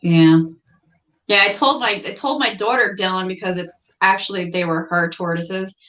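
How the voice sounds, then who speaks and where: A woman's voice: mid-range voice, normal speed, fairly bright tone. A middle-aged American woman chatting casually on a livestream, telling stories in a plain-spoken, mildly salty way. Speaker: livestream guest, reptile keeper. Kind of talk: casual chat. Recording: roomy, echoey livestream audio.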